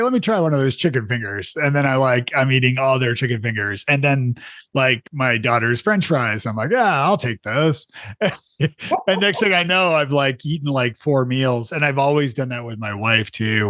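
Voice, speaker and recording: A man hosts a podcast, talking in a friendly, meandering way with plenty of fillers and self-corrections. His voice sits at an ordinary middle pitch. The sound is dry and close, with no room around it. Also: bright, forceful tone, dry recording. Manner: friendly, rambling, self-deprecating, many fillers. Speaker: podcast host. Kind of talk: casual podcast interview.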